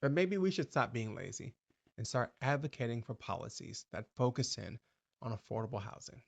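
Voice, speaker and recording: A male host giving commentary in a measured, deliberate, persuasive way. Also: mid-range voice, gravelly, lo-fi sound.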